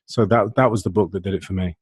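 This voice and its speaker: A man with a husky voice, speaking casually as a guest author in conversation. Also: sits low, steady tone, quick pace, darkish tone, dry recording, studio quality.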